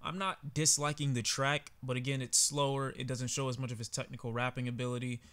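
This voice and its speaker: A man's voice: mid-range voice, flat delivery, fairly quick, a bit bright, clean tone. A man reviewing an album in a casual, candid way, talking conversationally with frequent filler words. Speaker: music reviewer. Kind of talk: casual album reaction commentary.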